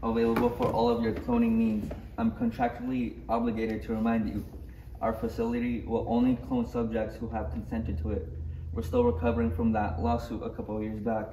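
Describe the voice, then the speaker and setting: Man playing mellow, polite alien cloning salesman. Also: mid-range voice, a little fast, lightly acted. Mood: polite, eager. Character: polite, salesmanlike alien cloner, proud of his product. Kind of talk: live table read of parody script. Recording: echoey room, distant mic, faint noise.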